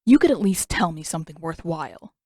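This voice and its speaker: A woman's voice: sits low, animated, brisk, warm tone, dry recording, studio quality. A woman voicing a proud, short-tempered teenage prince with a snappish, flustered manner in an audio story. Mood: exasperated. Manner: snappish, spluttering.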